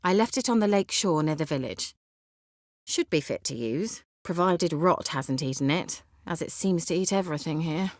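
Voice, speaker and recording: An actress voicing a haughty, witty, sly sorceress in a video game, with an arch, formal and sardonic way of talking. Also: female voice, low-pitched, animated, moderate pace, dry recording, clean studio sound. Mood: wry, composed. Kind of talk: video game character dialogue.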